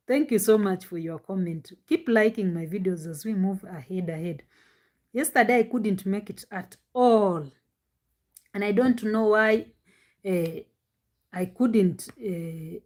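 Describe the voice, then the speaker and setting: Livestream host: a woman with a deep voice who pushes it loud and strained, chatting casually, no external mic. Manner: talks loudly and with strain. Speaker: live-stream reaction host. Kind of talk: casual live-stream chat with viewers. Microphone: no external microphone, device mic.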